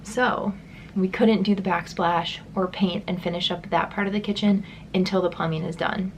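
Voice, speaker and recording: A woman's voice: fairly low pitch, steady tone, moderate pace, a little hiss or hum. Congested young woman chatting casually. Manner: casual and rambling, many likes and ums. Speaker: beauty vlogger. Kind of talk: chatty get-ready-with-me vlog.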